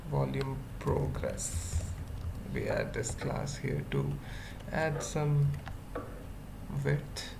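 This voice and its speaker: A tutorial presenter thinks aloud at a very slow pace, in short murmured pieces broken by long pauses. The voice is of middle pitch and full of vocal fry. The recording is clearly noisy, with keyboard typing behind it. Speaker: web developer giving a tutorial. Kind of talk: unscripted coding screencast, thinking aloud. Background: computer keyboard typing.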